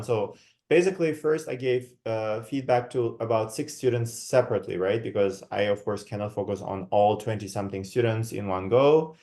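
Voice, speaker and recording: A man's voice: fairly low pitch, a little mellow. A young man from Kazakhstan, giving a reflective academic guest talk and often checking in with his listeners. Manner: checks in with frequent tag questions; measured, reflective, with many fillers. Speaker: guest lecturer. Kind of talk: academic talk walking through slides.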